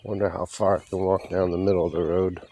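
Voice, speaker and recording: A man giving a rambling, folksy and wry walking vlog monologue, speaking haltingly and with strong opinions as he walks outdoors along a road. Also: deep voice, flat delivery, dark tone, slight background noise. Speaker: vlogger.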